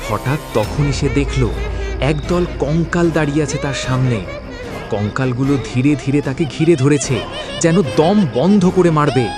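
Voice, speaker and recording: A man's voice: fairly high pitch, measured pace, over music, crowd noise. A man narrating a scripted horror story with dramatic, suspenseful delivery.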